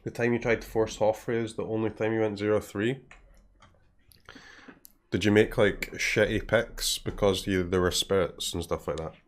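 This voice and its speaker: A man's voice: fairly low pitch, fairly even intonation, normal speed. Scottish man muttering through a game. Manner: thinking aloud, drops to a mutter, clears throat often. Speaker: game streamer. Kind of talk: casual live commentary while playing.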